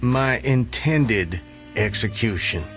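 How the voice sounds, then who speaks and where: A man's voice: medium pitch, animated, slow pace, fairly bright tone. An actor playing an earnest, haunted man who escaped his hanging, recounting it in formal, vivid language for an audio drama. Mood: defensive, unsure. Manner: literary, vivid, formal narration. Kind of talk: audio drama monologue and dialogue. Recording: music under the voice. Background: music.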